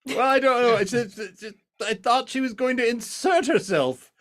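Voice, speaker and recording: A man making comic, ad-libbed interjections in a halting, fussy manner, with slight noise behind him. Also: extremely high pitch, fairly bright tone. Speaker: cast member in a live radio play.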